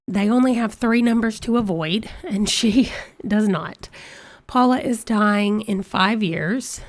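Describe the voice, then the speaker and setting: A woman's voice: mid-range voice, darkish tone, dry recording. A woman hosting a let's play, chatty and reading out dice rolls, her voice congested from a cold. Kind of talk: casual game commentary.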